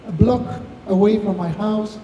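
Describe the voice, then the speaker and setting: Distant, high, mellow Argentinian man. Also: measured pace, muffled recording. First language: Spanish. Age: 50s. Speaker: tech founder, guest speaker. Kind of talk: live Q&A, anecdotal talk. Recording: distant echoey hall, faint noise.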